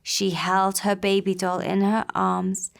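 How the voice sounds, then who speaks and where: A woman tells a story for young children. Her voice sits at an average pitch, and she goes slowly and gently through each phrase, in a simple storybook manner. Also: completely flat delivery, gravelly. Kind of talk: scripted children's puppet-show storytelling.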